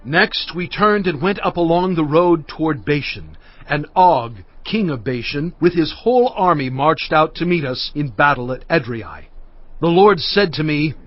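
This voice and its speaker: A man as Moses, earnest and measured. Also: a little high, animated, no echo, lo-fi sound. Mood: solemn. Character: Moses, an aged leader recounting conquests. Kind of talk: dramatised scripture reading.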